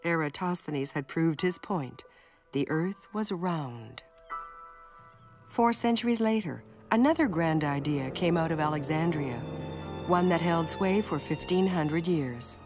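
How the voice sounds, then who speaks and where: A woman reading a scripted narration for an educational film, telling it in a measured storytelling way. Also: sits low, lively intonation, music in the background. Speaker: documentary narrator.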